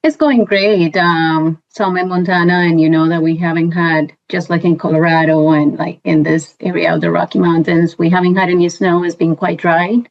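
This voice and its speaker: Guest: Colombian-accented woman, thirties, chatty, fillers, low-ish pitch, dull recording. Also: little pitch movement, normal speed, hardly any pauses. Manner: chatty, many fillers and restarts. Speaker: guest, compliance professional. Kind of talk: casual interview. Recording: dull lo-fi sound.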